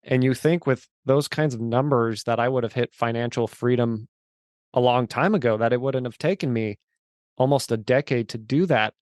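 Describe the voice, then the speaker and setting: A man's voice: mid-range voice, moderate pace, darkish tone, dry recording. Earnest man of forty, dry close sound. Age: 40s. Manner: earnest, storytelling, emphatic. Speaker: guest. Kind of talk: casual interview chat.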